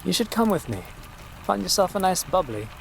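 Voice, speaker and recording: A man playing a world-weary, decadent grave robber with a dry, sardonic, drawn-out delivery in a gothic horror audio drama. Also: high voice, big pitch swings, vocal fry, echoey room, slight background noise, exaggerated voice. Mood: weary, teasing.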